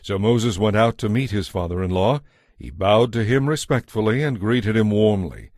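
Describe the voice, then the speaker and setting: Low-pitched male Bible narrator. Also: a little mellow, dry recording, studio quality. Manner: measured storytelling.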